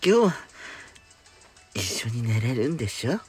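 A man playing a devoted, lonely wife in an amateur radio drama, speaking softly and coyly. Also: mid-range voice, very animated, measured pace, husky, full character voice. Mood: coy, tender. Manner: soft, coy, hushed. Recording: background music under speech.

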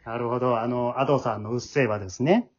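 A man's voice: medium pitch, fast talker. A man hosting a casual after-show talk, chattily echoing and summing up what others say. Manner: chatty, echoes and sums up others' answers. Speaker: host and leader of an amateur drama troupe. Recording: slightly dull, lo-fi podcast sound.

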